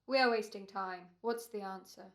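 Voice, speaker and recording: A woman playing a quietly menacing gentleman murderer in a 1940s-style radio thriller, formal and deliberate in short phrases. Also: medium pitch, natural voice. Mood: cold menace.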